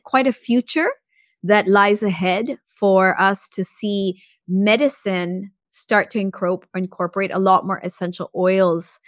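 A woman hosting a podcast interview, talking thoughtfully and filling pauses with "you know". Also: medium pitch, no room sound, clean studio sound. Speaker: podcast host. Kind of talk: conversational interview.

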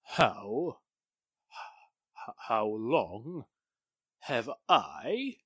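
Pompous, protective gentleman, played by a man, 1940s radio acting, bright forceful tone, unhurried and blustering. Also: mid-range voice, sing-song, breathy edge, dry recording, clean studio sound, exaggerated voice. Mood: flustered.

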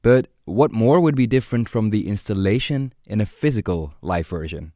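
Mellow low-voiced male narrator, dry sound. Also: lively intonation, moderate pace, no room sound. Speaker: podcast host and narrator. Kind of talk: scripted narration.

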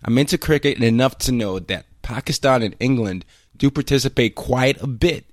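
A man's voice: medium pitch, moderate pace, no echo, clean studio sound. A man hosting an interview podcast, chatty and hesitant, with fillers and restarts. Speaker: podcast host. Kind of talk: casual interview chat.

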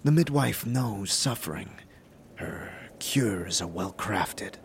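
A man's voice: lowish voice, animated, vocal fry, slight background noise, exaggerated voice. A man playing a stoic, wise warrior-mage who speaks formally and cryptically in a fantasy audio drama. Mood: solemn, calm. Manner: slow, measured, formal, aphoristic.

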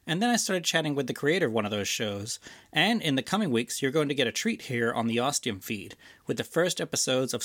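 A man's voice: mid-range voice, a bit bright. Man, mid-range voice, podcast host, informal announcement, enthusiastic.

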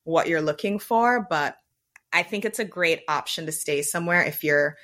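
A woman's voice: sits low, normal speed, fairly bright tone. A woman from Jamaica with a light Jamaican accent, co-hosting a podcast in casual chat and explaining things at length. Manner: explains at length, laughs often. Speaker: podcast co-host.

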